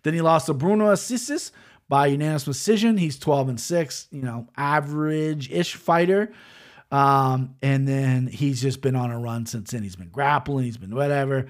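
A man breaking down fight betting lines in a casual way. He is brash and profane, and he rambles. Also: mid-range voice. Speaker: sports betting picks host.

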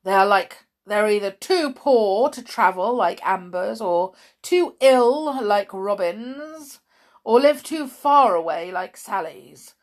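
A woman's voice: medium pitch, lively intonation, slow pace, voice pushed up. A woman voicing a cruel, bossy hospital matron from a storybook, with a sneering, theatrically sarcastic delivery. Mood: sarcastic, scornful. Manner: theatrically scornful, sarcastic, snapping.

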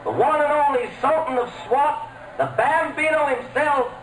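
A man's voice: extremely high pitch, moderate pace, fairly bright tone. A man playing a grand stadium announcer making a public-address call in an old radio drama, echoey with faint noise. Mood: grand, proud.

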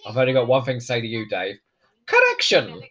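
A man hosting a casual chat, commenting while they watch an episode, joking with quick asides. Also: medium pitch, wildly swooping pitch, sharp tone. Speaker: podcast host.

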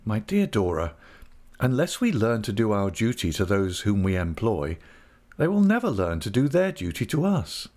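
A man playing an earnest young husband in an audiobook, gently coaxing and lecturing with grave reason. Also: lowish voice, big pitch swings, moderate pace. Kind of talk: audiobook character voice.

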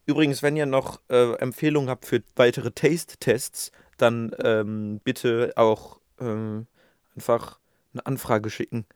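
A man's voice: mid-range voice, little pitch movement, a little slow, a little mellow, smooth, no room sound, studio quality. A man co-hosting a casual podcast, rambling and self-mocking, with plenty of fillers. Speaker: podcast co-host.

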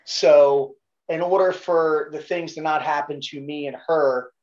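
A man's voice: on the high side, fairly even intonation, a little slow, darkish tone, dull audio. A middle-aged man with a Baltimore accent, a podcast guest telling laid-back, profane stories in casual chat. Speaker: podcast guest, former Navy SEAL.

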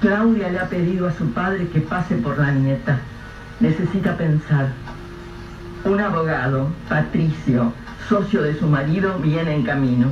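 A man's voice: a little high, soft background music. Grave radio drama narrator, man. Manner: measured, grave storytelling. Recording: echoey, distant, dull lo-fi with faint hiss.

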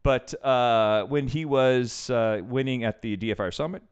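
A man's voice: mid-range voice, normal speed, clean tone, lo-fi sound. A middle-aged man hosting a casual show, rambling loosely with many fillers as he introduces his guest. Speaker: podcast host. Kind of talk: casual chat.

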